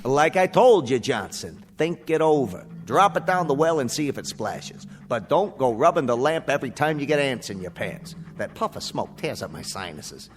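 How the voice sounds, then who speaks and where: An actor playing a brash, grumpy, streetwise genie who wisecracks in dated hipster slang in a radio drama. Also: male voice, mid-range voice, very animated, natural voice. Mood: exasperated, dismissive. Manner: streetwise, fast-quipping, dismissive. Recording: long reverb, faint music behind.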